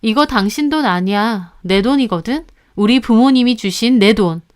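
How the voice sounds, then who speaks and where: Woman playing a defiant, high-voiced, fed-up daughter-in-law. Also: animated, normal speed, clear, resonant tone, natural voice. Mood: cold defiance. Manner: defiant, emphatic.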